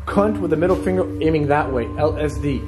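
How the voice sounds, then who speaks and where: A young man vlogging as he explores an abandoned house, talking excitedly to his viewers and swearing now and then, recorded on a handheld camera. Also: a little high, fast, reverberant, over music. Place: dark abandoned house, indoors. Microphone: handheld camera mic.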